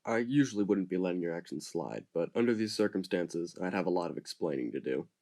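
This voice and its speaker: An actor playing a gruff male ship captain who talks in a curt, dismissive way in an amateur audio drama. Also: low voice, lively intonation, quick pace, darkish tone.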